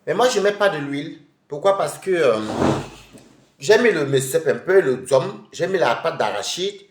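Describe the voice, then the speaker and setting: A man's voice: fairly high pitch, lively intonation, normal speed, echoey room, slight background noise. A man with a head cold, chatting casually with viewers on a live stream from home while he eats. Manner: chatty and rambling, addressing viewers directly.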